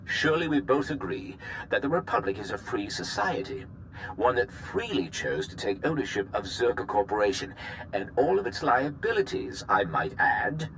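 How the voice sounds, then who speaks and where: An actor playing a smug, slippery male corporate executive who talks in a suave, sarcastic, drawn-out way. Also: mid-range voice, sing-song, fairly bright tone, slight background noise, poor audio. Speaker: corporate representative. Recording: game cutscene with music behind.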